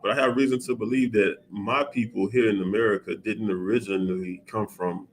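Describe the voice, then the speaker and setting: A man hosting a casual podcast chat, talking in a relaxed, loose way with frequent restarts and filler phrases. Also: lowish voice, brisk. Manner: laid-back, many restarts and "you know what I'm saying". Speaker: podcast host.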